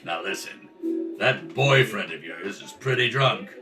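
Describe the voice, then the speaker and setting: A man's voice: fairly low pitch, lively intonation, a little slow, music in the background. A man playing a tough, scheming 1930s nightclub owner in a radio crime drama, with clipped, slangy wise-guy delivery. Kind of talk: old-time radio crime drama acting. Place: indoors at a kitchen table.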